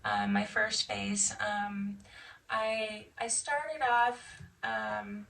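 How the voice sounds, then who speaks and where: A woman in her fifties with an American accent, chatting casually and haltingly as she tells her own story in an interview. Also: medium pitch, lively intonation, vocal fry, a bit bright. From United States, Nevada and Michigan. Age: 50s. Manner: chatty, often chuckling, halting. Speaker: interviewee, military veteran. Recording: distant mic, faint background noise.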